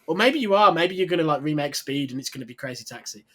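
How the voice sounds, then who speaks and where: Guy on a video call, talks kinda high, keeps rambling on excitedly. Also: fast talker. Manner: rambling, enthusiastic, trails off. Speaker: games journalist, panelist. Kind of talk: casual video call chat.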